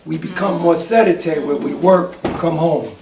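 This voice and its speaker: A man with a gravelly, fry-filled voice, set a little higher than most men. He talks reflectively in a casual group discussion. He sounds distant in an echoey room. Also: normal speed, noisy background.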